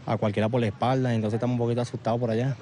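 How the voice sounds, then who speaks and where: A man's voice: medium pitch, level tone, racing, mellow. A man from Venezuela with a Venezuelan accent, interviewed outdoors at a camp, talking in a rambling, informal way full of slang.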